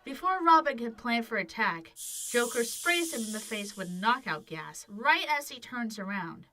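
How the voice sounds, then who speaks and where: A woman's voice: on the high side, lively intonation, moderate pace, bright, forceful tone. A woman narrating an audio drama from a script as its storyteller.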